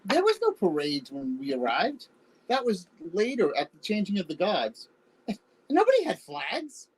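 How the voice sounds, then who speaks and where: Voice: medium pitch, wildly swooping pitch, measured pace, husky, heavily acted, rough recording. An actor playing a wry, teasing older spouse reminiscing fondly in a staged play reading. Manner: wry, bantering, conversational.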